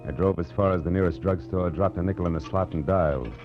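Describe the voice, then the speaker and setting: An actor playing a tough, wisecracking American private detective in clipped 1940s radio noir style. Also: male voice, sits low, fast, over music, lightly acted. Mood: wry, tense narrating. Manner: clipped, wry, slangy. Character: tough, wisecracking male private detective, world-weary and sardonic. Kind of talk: 1940s radio noir acting with first-person narration. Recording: muffled, noisy 1940s radio recording with hum.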